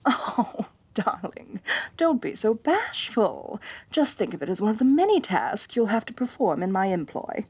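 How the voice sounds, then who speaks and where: A woman's voice: medium pitch, very animated, a little slow, a little mellow, slightly rough, dry recording. A woman playing a haughty, domineering rich lamia mistress in an ASMR roleplay, talking down to her servant. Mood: haughty, teasing. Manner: haughty, domineering, talks down to the listener. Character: haughty rich female lamia, bossy mistress, slightly tsundere.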